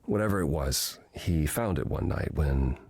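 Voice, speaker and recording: An actor playing a gentle, melancholy god in a video game, speaking in a measured, formal and earnest way. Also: male voice, a bass voice, lively intonation, creaky, breathy edge, no room sound, exaggerated voice. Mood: wistful. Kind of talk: video game dialogue acting.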